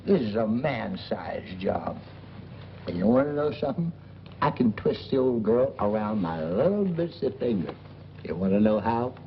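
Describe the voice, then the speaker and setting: Boastful folksy boarding house blowhard, 1940s movie comedy, super swingy voice, muffled old film sound. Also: male voice, fairly low pitch, very animated, moderate pace, a little hiss or hum. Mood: boastful, cocky. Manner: folksy, ungrammatical, blustering bragging. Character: boastful, wheedling boarding-house man, middle-aged, small-time schemer.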